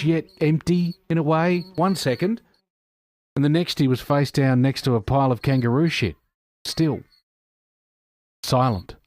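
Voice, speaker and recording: A man narrating a scripted audio drama in a deliberate, dramatic manner. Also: a little high, a little mellow, dry recording.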